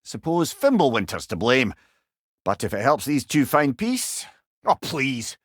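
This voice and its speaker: A man's voice: medium pitch, big pitch swings, measured pace, a bit bright, no echo, clean studio sound. A man playing a witty, avuncular old Scottish counsellor in a video game, a severed head who talks in a wry, courtly, chatty way with salty turns of phrase. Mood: wry, reflective. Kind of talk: video game character dialogue.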